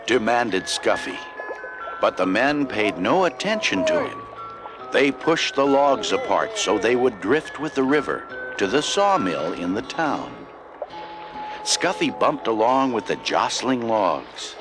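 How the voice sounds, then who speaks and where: A man narrating a scripted children's story, with expressive delivery and deliberate phrasing. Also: medium pitch. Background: loud music.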